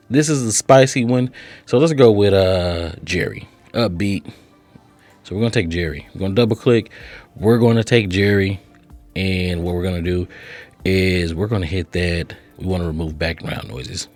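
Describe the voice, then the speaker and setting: A man hosting a casual step-by-step tutorial, chatty and instructional, often checking in with short tags. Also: sits low. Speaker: host of software tutorial channel.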